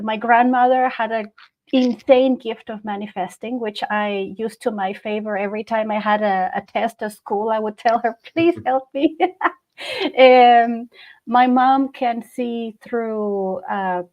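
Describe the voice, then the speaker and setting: Higher-pitched woman telling her story. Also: darkish tone, poor audio. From Chile. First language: Spanish. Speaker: interview guest, hypnotherapist and channeler. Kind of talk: casual personal storytelling.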